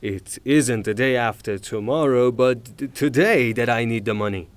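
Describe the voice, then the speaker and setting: An actor playing a blustering, irascible retired officer and landowner in a theatrical audio drama, with formal manners and emphatic, exasperated delivery. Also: male voice, mid-range voice, normal speed, clear, resonant tone, no room sound, lightly acted. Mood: insistent. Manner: emphatic, formal address breaking into exasperated outbursts.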